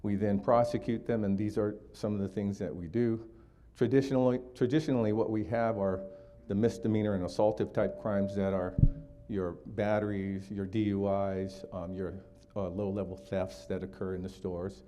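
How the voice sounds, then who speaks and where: A man's voice: on the low side, fairly even intonation, normal speed, warm tone. A man in his sixties from Hawaii, giving a community talk for a district attorney's office, explaining steadily with frequent fillers.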